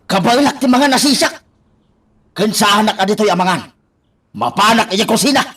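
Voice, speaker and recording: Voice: mid-range voice, expressive, moderate pace, fairly bright tone, breathy edge, far from the mic. A performer playing a cranky, demanding, complaining patient in a comic radio drama. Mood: irritated, ordering. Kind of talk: comedic radio drama acting.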